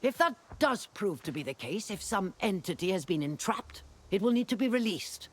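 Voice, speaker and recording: An actor voicing a dry, haughty scholar of ancient ruins in video game quest dialogue, measured and faintly condescending. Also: mid-range voice, sing-song, slightly rough. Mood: dry, encouraging.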